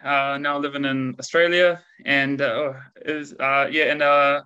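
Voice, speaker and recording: A man's voice: mid-range voice, flat delivery, unhurried, sharp tone, clean tone, lo-fi sound. A man in his thirties with a New Zealand accent, a guest on a podcast talking over a video call in a laid-back, slangy way. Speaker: guest researcher. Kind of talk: casual podcast interview.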